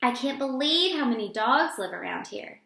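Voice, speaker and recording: A woman's voice: fairly high pitch, big pitch swings, normal speed, vocal fry, a bit bright, a little hiss or hum, full character voice. A woman voicing an eager, playfully bossy schoolgirl in a children's storybook read-aloud. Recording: roomy, echoing sound.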